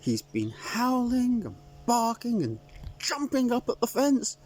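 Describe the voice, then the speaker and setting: A man's voice: very high-pitched, huge pitch swings, unhurried, darkish tone, voice pushed up, full character voice. A man voicing a panicky female chicken who stammers in a fluttery way. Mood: flustered. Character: flustered female chicken, sensible but panicky.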